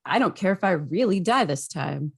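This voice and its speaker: A woman's voice: on the low side, expressive, moderate pace, creaky, breathy edge, heavily acted. A woman playing a brash, stubborn superhero in a script table read, with a casual, emphatic delivery. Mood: defiant. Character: brash, stubborn, heroic male alien superhero.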